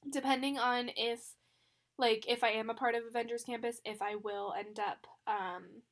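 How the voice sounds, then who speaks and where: A young woman chatting casually as a planner vlogger. She sounds congested from the flu, with a slight cough, and talks with lots of fillers and little laughs. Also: on the high side. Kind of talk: casual chat.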